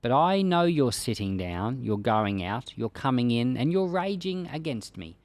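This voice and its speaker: Expressive man reading scripture with wide-ranging pitch. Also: a little slow, dry recording. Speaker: pastor reading a Bible chapter aloud for a podcast.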